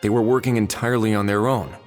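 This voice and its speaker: An actor playing a calm, methodical investigator in a video game, speaking in a measured, polite, analytical way. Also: male voice, on the low side, long reverb tail, loud music behind the voice, lightly acted. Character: calm, methodical male investigator reasoning aloud.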